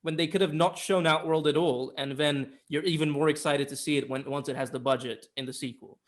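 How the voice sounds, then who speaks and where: A man's voice: fairly high pitch, steady tone, a little fast. A man co-hosting a casual film discussion, argumentative and emphatic, with some casual swearing. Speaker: podcast co-host reviewing films.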